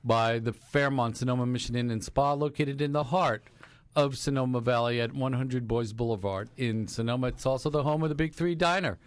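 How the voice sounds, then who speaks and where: Older morning radio host, dry close studio sound, jokey and rambling. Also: male voice, medium pitch, fairly quick, clear, resonant tone, dry recording. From New York City. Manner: rambling and jokey, reads sponsor copy. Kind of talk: morning radio talk show. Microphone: studio mic with headphones.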